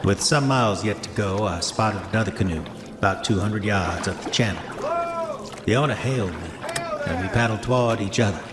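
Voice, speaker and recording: Deep-voiced, roguish old-time gold prospector played by a man. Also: heavy background noise, close to an everyday voice. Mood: grave, confessional. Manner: formal old-fashioned diction, deliberate, self-justifying. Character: roguish, haunted gold prospector and womanizer, reading his old diary. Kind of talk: dramatic first-person diary monologue in a horror audio drama. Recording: echoey hall reverb, music underneath.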